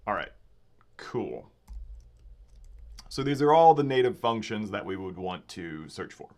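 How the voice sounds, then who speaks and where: A man with a slightly raspy voice giving casual live coding commentary, thinking aloud informally as a developer hosting a livestream. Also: on the low side, very animated, measured pace, darkish tone. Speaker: livestream host and software developer. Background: computer keyboard typing and clicking.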